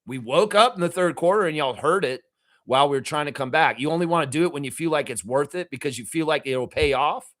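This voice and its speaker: A man with a somewhat raised voice, raspy and worn from yelling all night. He rants about fandom with blunt swearing and keeps circling back to the same emphatic phrases. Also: fairly high pitch, quick pace. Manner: emphatic, profane, repeats phrases. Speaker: fan channel host. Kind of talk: fired-up fan reaction rant.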